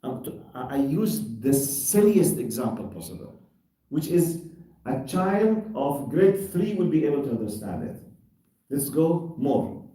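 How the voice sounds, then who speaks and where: A man's voice: medium pitch, big pitch swings, a little slow, warm tone. A man giving a religious lesson on Quran commentary, arguing through rhetorical questions and everyday examples. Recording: very echoey room, distant mic.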